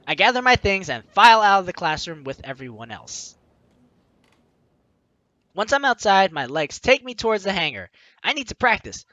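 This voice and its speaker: A man's voice: high-pitched, big pitch swings, normal speed, pauses often, bright, forceful tone, no room sound, dull audio. A man hosting a gaming video, reading game narration aloud and throwing in jokey asides. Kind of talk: let's play commentary mixed with reading game narration aloud.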